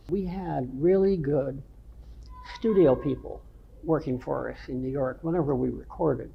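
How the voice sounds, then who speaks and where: An older man from the North Shore of Massachusetts with a nasal voice, a veteran rock guitarist telling stories from his band days in an unhurried, rambling way. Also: a little high, vocal fry, husky, highs cut off. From North Shore of Massachusetts, USA. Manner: unhurried, rambling storytelling with many ums. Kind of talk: reminiscing interview answers.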